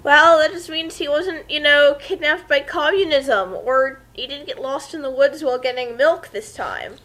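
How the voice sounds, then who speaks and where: A woman's voice: high-pitched, normal speed, fairly bright tone. A woman co-hosting a casual comic commentary in a sarcastic way. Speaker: co-host giving commentary.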